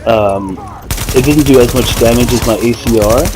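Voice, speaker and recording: A man's voice: medium pitch, normal speed, noisy background. A man giving casual gameplay commentary, explaining things in a hesitant, self-correcting way. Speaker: gaming video maker. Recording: voice over faint game music and gunfire.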